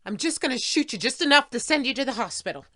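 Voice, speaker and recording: An actress playing a fed-up, tough wife and writer in an audio play, curt, exasperated and commanding. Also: female voice, high-pitched, expressive, fast talker, very noisy. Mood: cold command.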